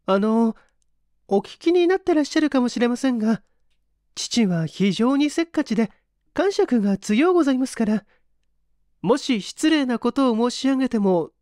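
A man voicing a polite, refined young lady of eighteen, softly feminine, in an audiobook. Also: very high voice, lively intonation, moderate pace, dry recording, clean studio sound, voice pushed up. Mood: polite, apologetic. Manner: very polite, soft feminine speech.

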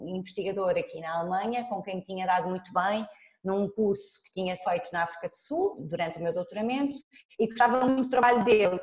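A woman in her thirties from Portugal with a European Portuguese accent, a scientist guest talking casually and thoughtfully over a video call, with frequent pauses and fillers. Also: medium pitch. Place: lab room with dark wall, indoors. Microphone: laptop webcam on video call. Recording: roomy echo on a video call.

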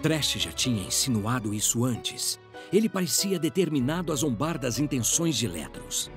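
A man's voice: medium pitch, very animated, normal speed, over music. A man with a Brazilian accent giving dramatic scripted narration for a fantasy audio drama.

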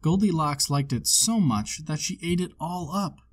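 A man reading a children's storybook aloud as narrator, with measured, expressive storytelling phrasing. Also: a little high. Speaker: storybook reader.

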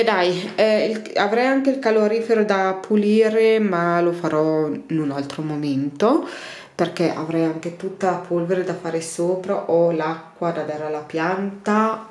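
Woman, 30s, lowish voice, chatty home-cleaning vlogger, tiled bathroom echo. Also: darkish tone. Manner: chatty, rambling, many fillers and restarts. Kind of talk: casual clean-with-me narration.

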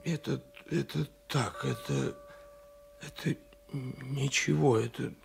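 An actor playing a wounded, stoical gendarme in a radio drama, weakened and halting, repeating his words. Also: medium pitch, steady tone, vocal fry, music in the background. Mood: weak, stoical. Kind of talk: 1980s Soviet radio drama acting.

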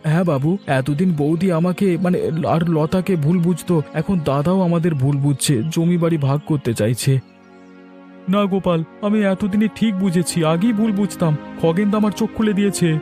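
A man's voice: sits high, brisk, mellow, faint music behind, natural voice. A man voicing an earnest, respectful middle-aged villager in a cartoon. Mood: troubled. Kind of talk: Bengali cartoon voice acting.

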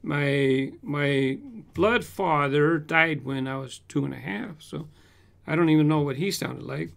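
A man's voice: a little high, a little slow, gravelly. A man in his fifties from Southern California, chatting casually and animatedly as a host answering viewer comments in a home room, speaking into a desk microphone. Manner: animated, gestures with hands, shows toy cars. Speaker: toy car collector channel host. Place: home room with shelves of toy cars. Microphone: large desk condenser mic in front.

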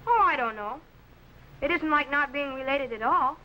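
A man's voice: very high-pitched, animated, moderate pace, pushed and bright, full character voice, poor audio. A young teenage boy playing a pompous, earnest explorer's assistant in a 1950s children's sci-fi show. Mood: airy, self-important. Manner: pompous, earnest, carefully enunciated. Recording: vintage film soundtrack, faint noise.

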